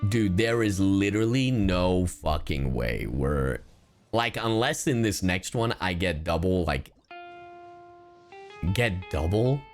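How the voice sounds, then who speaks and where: A man live streaming a game, chatting casually in a slangy, sweary, expressive and excitable way. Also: sits low, slow pace, soft background music. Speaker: livestreamer.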